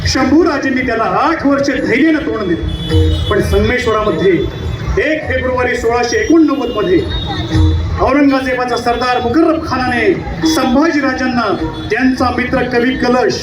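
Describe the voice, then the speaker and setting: A man declaims scripted historical narration for a stage play. His voice sits extremely high. It sounds echoey and slightly distant, with music behind. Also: moderate pace.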